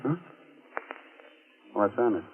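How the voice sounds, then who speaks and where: A man's voice: on the low side, animated, takes it slowly. A man playing a macabre, sardonic horror host and storyteller in theatrical 1940s radio style. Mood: uneasy. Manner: theatrical, sardonic, mock-friendly. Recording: muffled 1940s radio recording with music.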